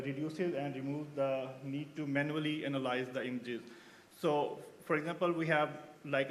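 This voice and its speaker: A man's voice: a little high, a little hiss or hum. Hesitant man from Pakistan giving a conference talk. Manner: hesitant, many fillers and restarts. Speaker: researcher presenting at a symposium.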